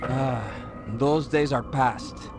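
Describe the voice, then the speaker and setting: An actor playing a knowledgeable, respectful assassin informant in a video game, speaking deliberately. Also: male voice, medium pitch. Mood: informative. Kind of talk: video game cutscene acting. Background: music.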